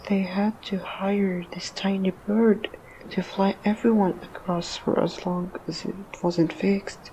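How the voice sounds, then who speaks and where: Woman voicing a soft, mellow, shy ghost. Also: mid-range voice, fairly even intonation, takes it slowly, slight background noise, close to an everyday voice, poor audio. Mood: wistful, rambling. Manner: hesitant, trailing off, self-deprecating. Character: shy, self-doubting, rambling ghost. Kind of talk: audio drama acting.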